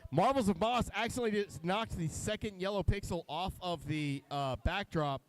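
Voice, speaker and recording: High-voiced man explaining robot match action. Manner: explanatory, technical, with frequent filler pauses. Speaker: color commentator at a robotics competition. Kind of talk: live event commentary. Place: competition venue.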